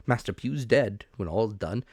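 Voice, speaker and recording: A man voicing a hearty, blustering revenue officer, brisk and emphatic, in a dramatic audiobook reading. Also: on the low side, animated, a little mellow, no echo, studio quality.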